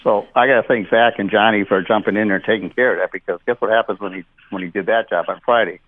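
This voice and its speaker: A man's voice: on the low side, steady tone, fast talker, phone-quality sound. A man who owns a contracting company rambles through a job story on the radio, with lots of "you know". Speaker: contractor, company owner and show regular.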